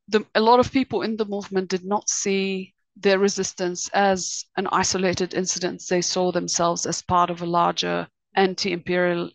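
A woman's voice: medium pitch, no room sound, rough recording. A woman from Lebanon in her thirties, a researcher answering interview questions thoughtfully, often restarting her sentences. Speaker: guest researcher. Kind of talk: podcast interview.

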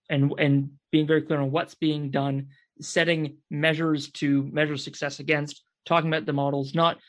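A man speaking earnestly as a guest in a panel discussion, pausing to search for words. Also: on the high side, creaky. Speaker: podcast guest.

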